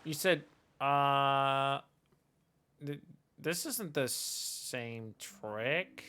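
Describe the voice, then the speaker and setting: A man's voice: mid-range voice, animated, very slow, pushed and bright, clear, resonant tone. A man streaming a video game with casual live commentary, flippant and rambling.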